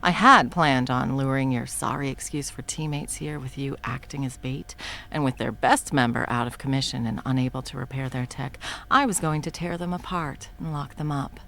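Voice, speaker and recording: A woman voicing a scheming female supervillain who swears and often drops to a soft whisper, in a one-sided audio roleplay. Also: rumbling low, vocal fry, heavily acted. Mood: scornful, scheming.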